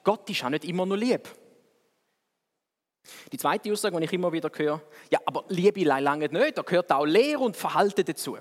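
Swiss german guy preaching, kinda high voice, chatty and dry-humored, long echo like a big hall. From Switzerland. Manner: conversational, wry, speeds up and slows down for emphasis. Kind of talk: sermon.

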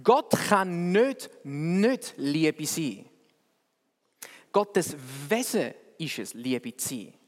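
A man with a Swiss German accent, preaching a sermon in a conversational, wry way that swings between quick and slow delivery. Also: on the high side, very animated. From Switzerland. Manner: conversational, wry, speeds up and slows down for emphasis. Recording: long reverb of a hall.

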